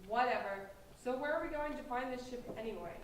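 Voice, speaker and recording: A woman's voice: a little high, fairly quick, lightly acted. A woman playing a snobbish, sarcastic teenage girl, bored and dismissive, in a staged play reading. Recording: distant echoey room, faint noise.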